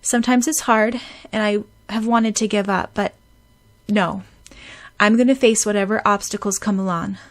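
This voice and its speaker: A woman's voice: mid-range voice, steady tone, normal speed, close to an everyday voice. A woman voicing an earnest young heroine who speaks emotionally, tearful but determined. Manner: emotional, pleading, raised voice.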